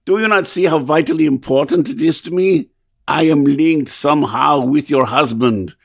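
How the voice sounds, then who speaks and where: A man's voice: a little high, normal speed, gravelly, no room sound, studio quality. A man playing an anguished composer who fears madness in an old-time radio drama, intense, brooding and theatrical. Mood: desperate. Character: haunted, obsessed male composer, anguished and fearful of madness.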